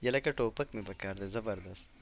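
A man's voice: lowish voice, fairly quick. A man giving casual commentary as a gaming YouTuber. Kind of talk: casual game walkthrough commentary.

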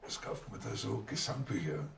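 A gruff, stingy cop with a very deep, gravelly voice. He talks bluntly and grumbles about money in a TV crime comedy. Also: animated, normal speed, noisy background. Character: gruff, stingy, hypochondriac male Bavarian cop.

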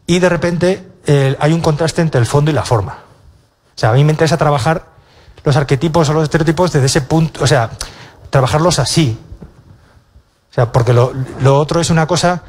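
A man, a comedian talking on a stage panel through a handheld mic, halting with many restarts and a wry self-mocking tone. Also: mid-range voice, a little mellow. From Castilla-La Mancha, Spain. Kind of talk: panel discussion. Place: stage of a cultural centre auditorium.

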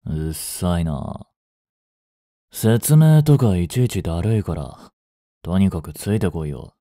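A man playing a blunt, languid young delinquent and protective childhood friend, drawling gruffly and teasingly in an intimate audio drama. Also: deep voice, expressive, unhurried, mellow, no echo, studio quality. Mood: irritated.

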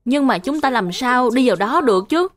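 A woman's voice: high-pitched, lively intonation, a little fast. A woman voicing a kind, sincere young schoolboy in a cartoon dub, earnest and childlike. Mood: wondering. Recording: music behind the voice.

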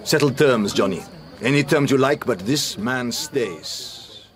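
Assured, commanding wealthy owner. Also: male voice, mid-range voice, lively intonation, slow pace, crowd noise. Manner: terse, assured. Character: suave, commanding wealthy racehorse owner, shrewd and decisive. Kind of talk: radio drama acting.

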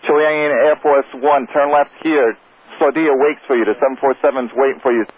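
A man working as an air traffic controller, giving clipped, brisk, procedural instructions over radio. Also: mid-range voice, steady tone, phone-quality sound. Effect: radio transmission.

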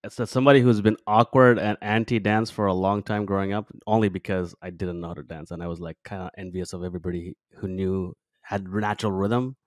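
A man's voice: low voice, fairly even intonation, brisk, hardly any pauses, dry recording, clean studio sound. A man in his thirties from Bangladesh, a podcast guest talking reflectively, searching for words with many fillers and restarts. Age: 30s. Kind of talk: reflective interview talk. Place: small room with plant and guitar on wall. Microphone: headset with studio mic on arm.

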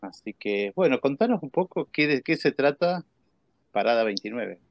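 A man's voice: medium pitch, lively intonation, normal speed, dry recording. A man in his forties with an Argentine accent, casually interviewing as a host over a video call. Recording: dry, dull video call audio.

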